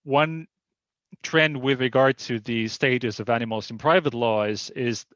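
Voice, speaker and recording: A man giving an academic presentation as a legal scholar, explaining in a hesitant, halting way. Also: mid-range voice, a bit bright, dry recording, highs cut off.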